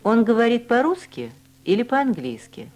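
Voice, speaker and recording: A woman reading language-course drills and dialogues with slow, deliberate, carefully enunciated speech. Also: on the high side, big pitch swings, a little mellow. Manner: clear, deliberate articulation of each word.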